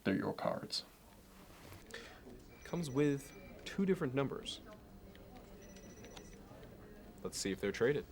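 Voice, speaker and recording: A man playing a smug, meticulous Manhattan investment banker in an ASMR roleplay, speaking in a measured, self-assured way. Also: medium pitch, very animated, darkish tone. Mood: fastidious, appraising, then cool, appraising.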